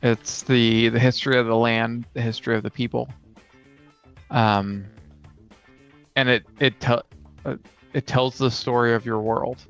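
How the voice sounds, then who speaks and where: A man's voice: medium pitch, level tone, unhurried, pauses often, fairly bright tone, smooth. A man co-hosting a casual gaming chat, explaining things conversationally as one of the players. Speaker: podcast co-host and player. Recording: slightly dull audio, music behind. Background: music.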